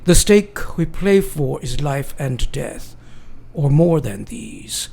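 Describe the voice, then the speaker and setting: An actor playing a grave, devoted elderly foreign professor in a radio drama, speaking solemnly and deliberately in broken grammar. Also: male voice, fairly high pitch, big pitch swings, creaky, mellow, husky, heavily acted. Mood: solemn, fearful. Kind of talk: radio drama acting, dramatic journal narration.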